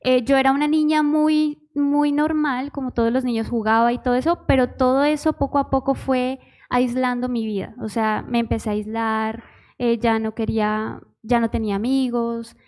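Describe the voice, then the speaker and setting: A young woman from Bogotá gives her testimony in church, speaking with a local Bogotá accent. Her voice sits a little high, and she is shy and giggly, hesitating often with fillers as she talks. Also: flat delivery, normal speed, darkish tone. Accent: Bogotá Spanish. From Bogotá, Colombia. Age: 20s. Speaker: church musician, pastor's wife. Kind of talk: personal testimony.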